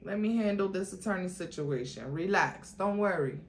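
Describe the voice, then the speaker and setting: A woman acts out a wealthy, arrogant man in a dramatic book reading. He is calm, smug and smoothly reassuring, never rattled. The sound is roomy with faint noise. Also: fairly low pitch, normal speed, natural voice. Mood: smooth, charming.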